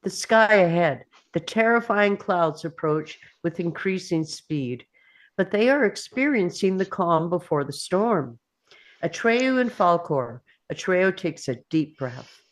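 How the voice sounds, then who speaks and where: Unhurried, lowish-voiced woman narrator. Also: animated. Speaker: narrator reading stage directions. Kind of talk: scripted narration.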